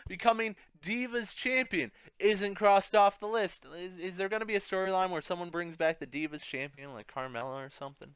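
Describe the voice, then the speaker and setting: A man gives loose, casual commentary as he plays a video game. His voice is clear and slightly high, recorded dry and close. He rambles and repeats himself, jumping between muttered asides and loud outbursts. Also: no echo. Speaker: gaming video host.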